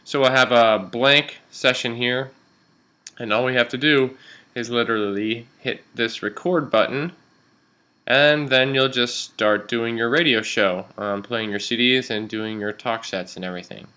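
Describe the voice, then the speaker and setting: A man working as a radio instructor, explaining software step by step in an informal, instructional way. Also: medium pitch, measured pace, smooth.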